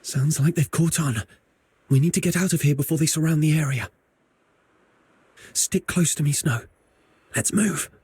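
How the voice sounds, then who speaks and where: Game role: cautious young male fugitive, very husky, slightly high, hushed urgency. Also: moderate pace, pauses often, no echo. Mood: urgent. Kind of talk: scripted video game acting.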